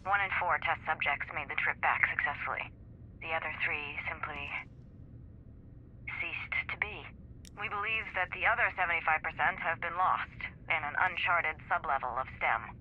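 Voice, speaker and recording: Clinical lab-report voice, formal and measured, dull radio filter, faint noise, game cutscene. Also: medium pitch. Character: detached, clinical research lab announcer. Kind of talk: scripted report in a video game.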